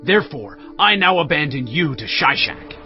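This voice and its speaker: A grave prophet, played by a man, proclaims judgement in a biblical audio drama. His fairly high voice is declamatory and animated, on a slightly dull recording with soft music. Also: moderate pace, close to an everyday voice. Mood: stern, accusing. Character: stern male prophet proclaiming divine judgement. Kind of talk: dramatised audio Bible acting. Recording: dull band-limited audio with faint music.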